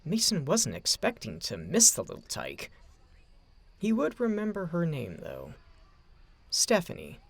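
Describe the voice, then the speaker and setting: Expressive, gravelly male story narrator. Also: fairly high pitch, measured pace, long pauses, dry recording.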